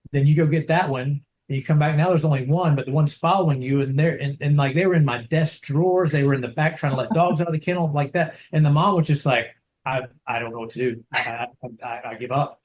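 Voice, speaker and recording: Soft-spoken dog trainer man, rambling. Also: medium pitch, poor audio. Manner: soft-spoken, rambling with many restarts and "right?". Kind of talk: casual interview over video call. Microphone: video call.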